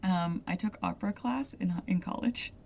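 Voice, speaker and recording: A young adult woman with a deep voice, hosting a podcast and telling a story in a loose, chatty way full of "like" asides. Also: normal speed, creaky, darkish tone, slight background noise. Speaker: podcast host. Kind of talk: casual storytelling chat.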